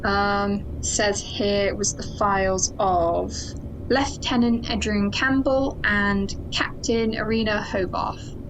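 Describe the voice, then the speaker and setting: A woman's voice: mid-range voice, fairly even intonation, slow, a little hiss or hum, lightly acted, low-quality recording. A woman playing a hard-line, suspicious military security chief in an audio drama, speaking in a clipped, formal way. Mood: stern, formal.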